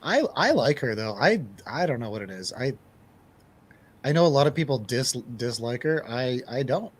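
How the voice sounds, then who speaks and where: A man chatting casually on a movie review panel, animated and repeating phrases for emphasis. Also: mid-range voice, normal speed.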